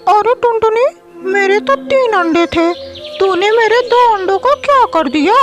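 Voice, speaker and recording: Woman acting out a shrill, blustering cartoon male crow. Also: animated, unhurried, over music. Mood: accusing. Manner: scolding, blustering, exclamatory. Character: harsh, selfish male crow, a father scornful of daughters. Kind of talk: Hindi moral-story cartoon character voice.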